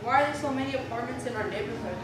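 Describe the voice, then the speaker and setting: A woman's voice: a little high, very fast. A female youth theater performer reading lines aloud in a live staged production. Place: indoor live theater event. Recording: echoey room, distant, noisy.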